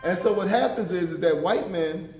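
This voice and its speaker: Deep-voiced man in his fifties, emphatic and impassioned, speaking a bit high for his range in a roomy hall. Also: normal speed, a little mellow, faint music behind. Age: 50s. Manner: impassioned, emphatic, repeats words when pressing a point. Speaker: panelist. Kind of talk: conference panel talk. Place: conference room. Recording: echoey conference room.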